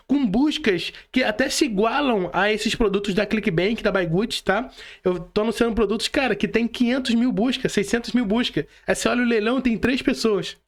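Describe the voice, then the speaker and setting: High-voiced Brazilian man talking casually. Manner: slangy, emphatic, repeats words while explaining. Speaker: podcast guest, affiliate marketing mentor. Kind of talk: casual podcast chat.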